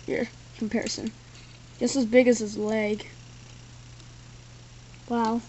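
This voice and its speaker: A woman's voice: a little high, little pitch movement, takes it slowly, a little mellow, a little hiss or hum, low-quality recording. A woman giving a casual, rambling toy review from an indoor room, filmed on a tripod camera. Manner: rambling, loose, full of "like" and "thing". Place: indoor room with carpeted floor. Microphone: camera on a tripod, built-in mic.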